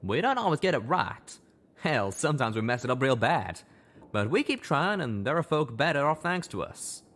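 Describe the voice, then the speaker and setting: A man's voice: medium pitch, very animated, normal speed, fairly bright tone, pitched above the performer's own voice. A plain-spoken cowboy pegasus played by a man with a drawl. He is folksy, blunt and loyal, and his lines swing animatedly in a storybook drama. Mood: heartfelt.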